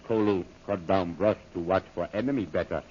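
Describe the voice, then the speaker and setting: An actor playing a stolid, loyal native guide and bodyguard who speaks terse broken English, in 1930s radio style. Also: male voice, low-pitched, a little fast, darkish tone, lightly acted. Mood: patient, stubborn. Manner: broken pidgin English, terse clipped phrases. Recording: dull lo-fi old radio, faint noise.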